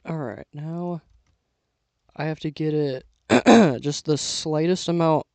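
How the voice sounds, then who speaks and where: A young man giving casual, self-talking commentary while building with LEGO, losing his voice and clearing his throat now and then. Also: a little high, takes it slowly, no echo. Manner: relaxed, mutters to himself while building.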